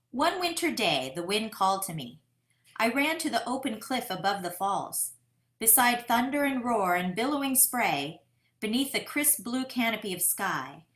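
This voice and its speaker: A woman voicing an eager, determined boy who tells his own kite-flying tale in a dramatic, deliberate picture-book read-aloud. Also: mid-range voice, bright, forceful tone. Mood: wistful, eager. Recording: roomy, echoing sound.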